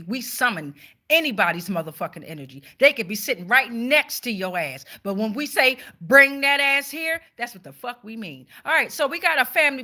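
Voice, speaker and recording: Bright-voiced, profane woman tarot host. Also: fairly high pitch. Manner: profane, slangy, emphatic; checks in often with tag questions. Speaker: tarot reader and livestream host. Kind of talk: casual livestream tarot reading, talking to viewers.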